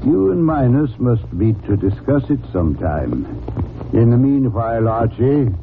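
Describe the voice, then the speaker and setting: Pompous, terse genius detective. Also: male voice, medium pitch, big pitch swings, a little slow. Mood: dryly imperious. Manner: terse, erudite, commanding. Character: pompous, imperious middle-aged male genius detective. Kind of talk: 1940s radio drama acting. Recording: tinny, noisy old radio recording.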